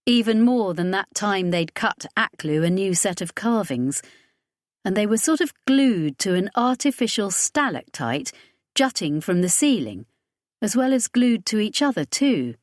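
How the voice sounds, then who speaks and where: A woman's voice: medium pitch, no room sound, clean studio sound. A woman reading scripted narration from a science fiction audiobook.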